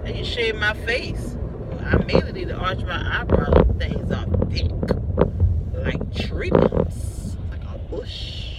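A woman's voice: lowish voice, huge pitch swings, slow pace, gravelly. A middle-aged woman chatting casually to her viewers from a parked car, recorded on a phone on the dashboard. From southern United States. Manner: chatty, talks straight to viewers. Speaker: vlogger. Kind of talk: casual car vlog chat. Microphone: phone camera on the dashboard. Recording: distant phone mic with loud car noise.

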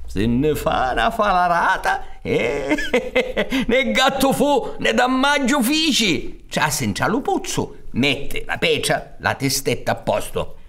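A man's voice: sits high, sing-song, takes it slowly, creaky, hardly any pauses, fairly bright tone. A man in his sixties with a Sicilian accent, telling a folk tale theatrically and expressively, with repetitions for emphasis. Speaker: storyteller narrator. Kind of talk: theatrical oral storytelling of folk tales. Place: theatre stage set up for radio recording. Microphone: large studio condenser on stand.

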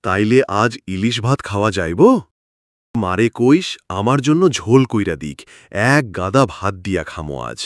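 A man's voice: lowish voice, animated, moderate pace, dry recording, clean studio sound, close to an everyday voice. A man playing a friendly, wistful village fisherman in a cartoon, with a rural Bangladeshi Bengali accent. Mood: hopeful. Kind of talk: cartoon fairytale voice acting.

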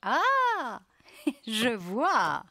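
A woman voicing a jolly, affectionate older nanny bear in a preschool cartoon, playful and expressive. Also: fairly high pitch, extremely slow, soft background music, exaggerated voice.

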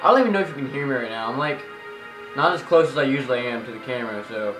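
A man's voice: mid-range voice, animated, rapid-fire. A young man giving casual, goofy game playthrough commentary, recorded on a camera in a room in front of a TV. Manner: goofy, makes nonsense sounds and sing-song. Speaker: gaming video host. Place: indoor room in front of a television. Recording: roomy, picked up near a TV playing music. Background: game music from the TV.

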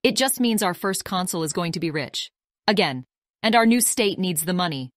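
Woman playing a low-voiced, businesslike ex-army woman. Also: expressive, moderate pace, dry recording, clean studio sound, close to an everyday voice. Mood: matter-of-fact. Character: businesslike female former army officer, consul of new state.

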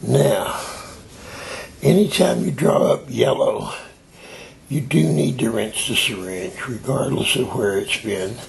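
An older man with a raspy, deeply gravelly voice walks through a craft technique as he does it. He talks in an unhurried way, explaining each step while he works. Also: a little hiss or hum, rough recording. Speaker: tie-dye hobbyist demonstrating. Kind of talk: slow hands-on craft demonstration.

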